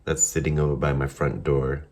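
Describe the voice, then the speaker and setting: Very deep-voiced man with a Boston accent. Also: level tone. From Massachusetts. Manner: halting, many ums, soft-spoken and candid. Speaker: vlogger. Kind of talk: casual daily video diary.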